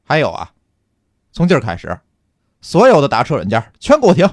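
A man's voice: mid-range voice, huge pitch swings, a little fast, no echo. A man acting a scolding police officer in a comic radio drama, sarcastic and earthy, with a Tianjin accent. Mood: exasperated, commanding. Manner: sarcastic rhetorical questioning, colloquial.

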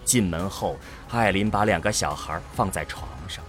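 A man's voice: deep voice, moderate pace, faint music behind. A man narrating an audio drama from a script in a grave, dramatic manner.

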